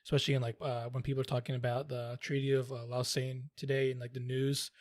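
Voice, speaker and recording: A man's voice: medium pitch, flat delivery, fast, darkish tone, no echo, studio quality. A young man co-hosting a podcast, rambling and searching for words as he asks questions. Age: young adult. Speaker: podcast co-host. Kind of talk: casual chat, asking questions.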